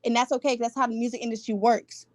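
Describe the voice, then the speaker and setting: A woman's voice: a little high, little pitch movement, racing, no room sound. A woman in a casual, opinionated gossip chat, speaking with a stutter and driving her slangy points home emphatically. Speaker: gossip show panelist.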